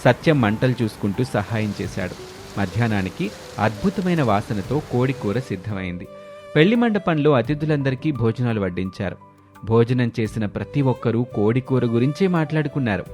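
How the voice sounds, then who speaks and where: A man reads a moral story as narrator. His voice sits at a medium pitch, with soft music underneath the scripted telling. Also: big pitch swings, moderate pace.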